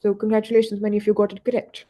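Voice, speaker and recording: A young male student presenting a climate quiz and reading out answers in a steady, explanatory way. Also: high-pitched, rapid-fire. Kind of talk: presenting an interactive activity.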